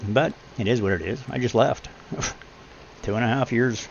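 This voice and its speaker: Gravelly deep-voiced middle-aged man. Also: measured pace. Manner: blunt, preachy, swears freely. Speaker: podcast host. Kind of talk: casual advice chat. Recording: muffled lo-fi with faint hum.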